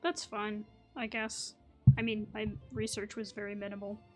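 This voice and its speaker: A woman's voice: medium pitch, little pitch movement, normal speed, faint music behind. A woman hosting a gaming video, giving casual, musing commentary that wanders off on tangents. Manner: musing, digressive. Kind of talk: casual chatty playthrough commentary.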